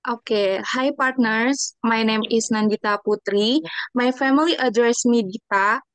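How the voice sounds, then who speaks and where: Young indonesian woman doing her practiced intro in english class, bit high voice, dry close audio. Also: measured pace, smooth, dry recording, poor audio. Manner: rehearsed self-introduction.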